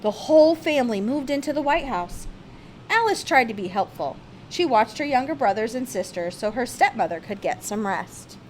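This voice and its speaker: Female storybook reader, higher-pitched and expressive, with light background noise. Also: moderate pace, rough recording. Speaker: storyteller reading a picture book aloud. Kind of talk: read-aloud children's storybook narration.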